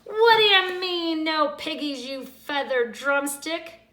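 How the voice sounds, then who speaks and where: A woman's voice: very high-pitched, expressive, slow, voice pushed up, exaggerated voice. A woman reading aloud and voicing a greedy, bossy witch who screeches and hurls insults. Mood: bossy, screeching. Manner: shouting insults. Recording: roomy echo.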